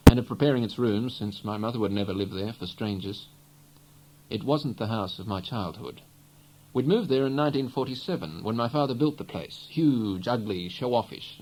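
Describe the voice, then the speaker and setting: A man narrating a literary novel in a measured, reflective way, phrase by phrase, with a soft-edged voice. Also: medium pitch, slight background noise, rough recording. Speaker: audiobook narrator.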